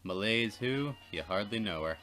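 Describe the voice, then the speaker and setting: A man's voice: fairly low pitch, animated, normal speed, pushed and bright, faint music behind. A man co-hosting a let's play, reading game text aloud and chatting casually with dry, joking asides. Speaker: let's play co-host and reader.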